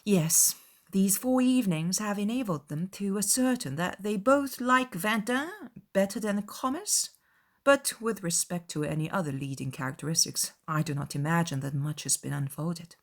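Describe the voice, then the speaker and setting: A woman playing a witty, spirited young gentlewoman with a wry manner in a dramatized audiobook. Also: mid-range voice, expressive, normal speed, natural voice.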